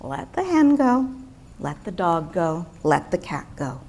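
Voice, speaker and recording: A woman voicing a patient old wise man in a calm, measured, unhurried way. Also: fairly low pitch, vocal fry, heavily acted. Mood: hushed.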